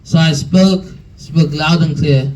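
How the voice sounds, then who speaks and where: A man's voice: a little high, steady tone, takes it slowly, darkish tone, recorded from a distance, low-quality recording. A young man, mentee telling a story to a room, chatty with many fillers and restarts. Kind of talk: nervous personal story at a talk. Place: room with an audience. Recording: from across a room, faint room noise.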